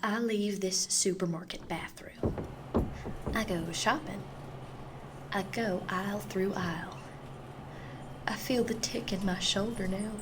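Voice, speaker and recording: A woman acting a radio play monologue as a dreamy, unsettled woman obsessed, intimate and confessional, often slipping into breathy whispers. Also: mid-range voice, noisy background, close to an everyday voice. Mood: hushed, obsessive. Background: music and sound design.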